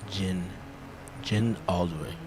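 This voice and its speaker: A man's voice: low voice, drawn-out words, background noise. A man giving casual, informal gameplay commentary and reading on-screen text aloud, sometimes muttering to himself. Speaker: game streamer, show host. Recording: game music behind the voice. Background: video game music.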